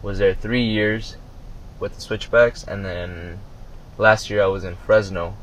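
A man's voice: fairly low pitch, fairly even intonation, unhurried, a bit bright, slight background noise, rough recording. A young man from Southern California, a professional soccer player, talking earnestly as an interview guest, with lots of fillers and restarts. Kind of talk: casual interview.

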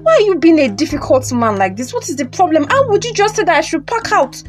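A woman's voice: sits high, big pitch swings, fast talker, faint music behind. A woman playing a distressed, defiant Nigerian wife and mother in an audio drama, exclaiming and questioning. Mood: indignant, distressed.

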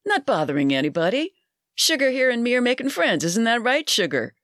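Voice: mid-range voice, very animated, brisk, fairly bright tone, no room sound, clean studio sound, voice pushed up. A narrator doing a brash, crude barfly. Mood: leering. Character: loud beer-drinking middle-aged barfly man.

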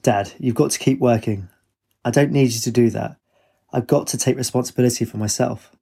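A man's voice: medium pitch, a little fast, gravelly, mellow, slightly rough. A man voicing a fond but exasperated young woman student in a story, with a dry, chiding manner. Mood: concerned.

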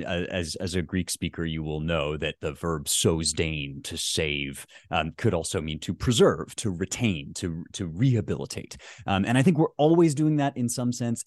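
A man speaking as a guest author in an interview, thoughtful and academic, pausing often with fillers as he reasons. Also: sits low, no echo, studio quality. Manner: thoughtful, halting, many fillers.

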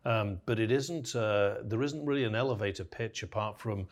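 A man, a company founder, calmly making his case as an interview guest and citing study figures. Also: fairly low pitch, moderate pace. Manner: steady, persuasive, cites figures. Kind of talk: casual interview.

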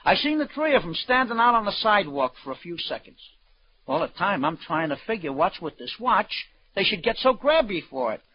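An actor playing a slangy, streetwise auctioneer in a 1940s radio crime drama. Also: male voice, sits high, sing-song, brisk, breathy edge, heavily acted. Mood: brash. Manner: colloquial slang, calls people sweetheart. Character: slangy, wisecracking Broadway auctioneer, streetwise man. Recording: dull, lo-fi old radio recording.

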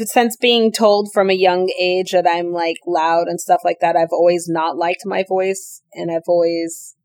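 A woman's voice: fairly low pitch, fairly even intonation, measured pace, a little mellow, dry recording. Joking woman cohost, says it straight, cusses, dead dry close mic. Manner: blunt, jokey, swears, plays with rhymes. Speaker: podcast co-host. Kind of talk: casual chat.

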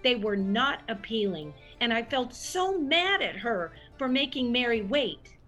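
An actress playing an opinionated storyteller, narrating a scripted audio comedy. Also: female voice, fairly high pitch, big pitch swings, unhurried, a bit bright, soft background music. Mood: wry narration. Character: opinionated woman storyteller narrating old-time radio tale.

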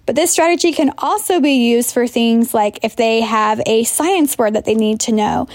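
A woman with a fairly high voice and a close, dry sound speaks as a teacher. She leaves an explanatory, instructional voicemail, going step by step. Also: moderate pace, a little mellow, no echo. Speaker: teacher leaving a listener voicemail.

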